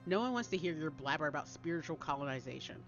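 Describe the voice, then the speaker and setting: Female narrator as a pushy, breezy young American woman in a spooky story, animated, slightly muffled recording. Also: low voice, quick pace. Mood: snappish. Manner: brash, emphatic, commanding. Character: bossy, breezy young woman leading an amateur spell group. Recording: dull lo-fi audio under loud music.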